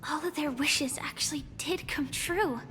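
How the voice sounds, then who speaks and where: An actress playing a gentle, reserved young heroine in a video game, speaking in a hesitant, earnest, soft way. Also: female voice, sits high, unhurried. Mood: puzzled, pensive. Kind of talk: video game character dialogue. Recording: faint music behind the voice.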